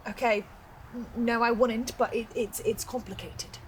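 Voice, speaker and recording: A woman playing an anxious, earnest archivist haunted by supernatural fears, speaking haltingly in a conversational audio drama. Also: fairly high pitch, fairly even intonation, a little hiss or hum, close to an everyday voice, poor audio. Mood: uneasy, guarded.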